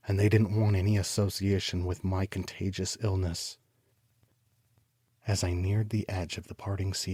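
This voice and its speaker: An actor playing a troubled homicide detective, narrating his case in a deliberate, brooding, literary style for an audio drama. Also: low voice, a little mellow, slightly rough, no room sound. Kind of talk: scripted first-person noir audio drama narration.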